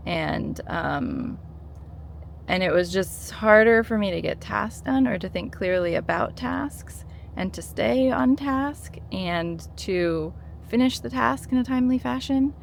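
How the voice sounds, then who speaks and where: A woman's voice: medium pitch, lively intonation, unhurried, vocal fry, slight background noise. A woman in her thirties talking casually to camera, earnest and encouraging, with many fillers and restarts. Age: 30s. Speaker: vlogger sharing her withdrawal experience.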